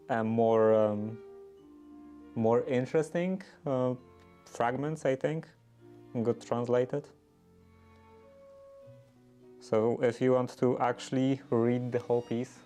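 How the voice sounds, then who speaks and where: A man with a Polish accent talks slowly in a flat, unhurried voice, stopping often to search for words as he answers viewers on a livestream. His tone is mellow and soft-edged, with faint music playing behind him. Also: mid-range voice. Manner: hesitant, thinking aloud with many fillers and restarts. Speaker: livestream host.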